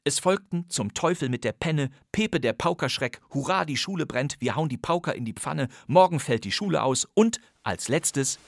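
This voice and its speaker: A man's voice: fairly high pitch, lively intonation, normal speed, creaky, few pauses, no echo, clean studio sound. Wry man hosting a radio crime podcast. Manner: wry, ironic commentary. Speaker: podcast host. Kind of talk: scripted radio narration. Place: studio.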